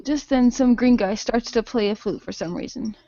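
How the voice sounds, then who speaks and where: A woman narrating an improvised role-play game, haltingly making it up as she goes. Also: fairly high pitch, warm tone, no echo, dull audio.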